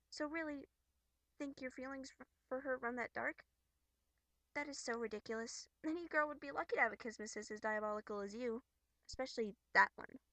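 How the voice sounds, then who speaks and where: A woman voicing a bubbly, earnest young troll girl from a webcomic, scolding but caring, with theatrical, expressive delivery. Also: fairly high pitch, dry recording. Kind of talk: amateur character voice acting from a webcomic.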